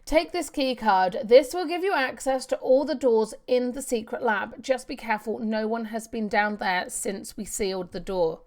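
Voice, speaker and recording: A woman's voice: a little high, normal speed, few pauses, darkish tone. A woman doing casual, chatty video game walkthrough commentary, talking to herself as she plays and reading out on-screen text. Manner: chatty, talks to herself while playing.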